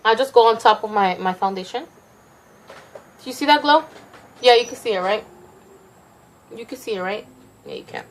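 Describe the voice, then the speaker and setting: A woman's voice: fairly high pitch, sing-song, moderate pace, pauses often, low-quality recording. Chatty young woman with a Mexican accent. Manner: chatty, self-conscious, rambling with restarts. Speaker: makeup vlogger. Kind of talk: casual makeup tutorial.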